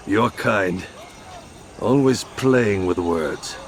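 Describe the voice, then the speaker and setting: An actor playing a proud, worldly crusader king in a video game, wry and philosophical, with a measured, grandiose manner. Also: lowish voice, slight background noise. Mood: scornful, philosophical. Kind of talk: dramatic video game acting.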